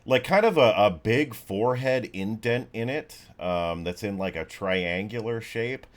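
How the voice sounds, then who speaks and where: A man's voice: on the low side, expressive, measured pace. A man acting as game master, improvising tabletop narration in a casual, hesitant way. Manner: hesitant, piecing descriptions together as he goes.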